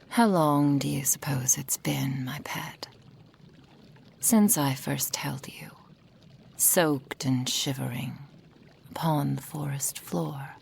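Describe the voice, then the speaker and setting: A woman playing a possessive, doting kitsune witch in an intimate ASMR roleplay, breathy and often whispering, with a silky, formal manner. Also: rumbling low, slow pace, long pauses, a little hiss or hum, heavily acted. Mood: adoring, wistful.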